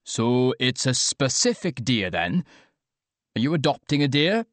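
A man's voice: mid-range voice, very animated, a little slow, dry recording. A man voicing an oblivious, upbeat young male influencer who livestreams to fans, blithe and chatty, in an audiobook. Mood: puzzled. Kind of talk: audiobook character dialogue.

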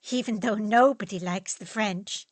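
A woman voicing a wry, teasing young woman with a bright, lively delivery. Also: mid-range voice, normal speed, no room sound, lightly acted.

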